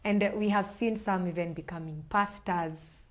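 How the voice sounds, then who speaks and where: A young woman hosts a podcast interview with her mentor. She speaks at a moderate mid pitch and keeps the conversation going with soft affirming murmurs. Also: fairly even intonation, normal speed. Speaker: podcast host and psychologist. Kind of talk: interview, casual chat.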